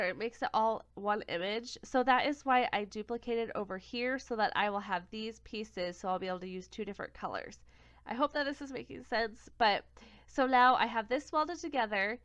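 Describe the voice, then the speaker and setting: A young woman with a cold-congested voice talks through a craft software tutorial step by step, sometimes catching and correcting her own slips. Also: medium pitch, clear, resonant tone, dry recording. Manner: step-by-step, self-correcting, plain and friendly. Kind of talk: screen-recorded software tutorial. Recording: dull, lo-fi home recording.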